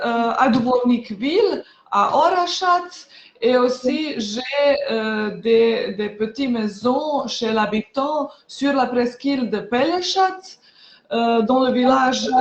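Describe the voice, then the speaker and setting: A woman from Croatia, a travel agency owner introducing herself in an interview, hesitating often with filler sounds. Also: fairly high pitch. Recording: echoey, muffled sound.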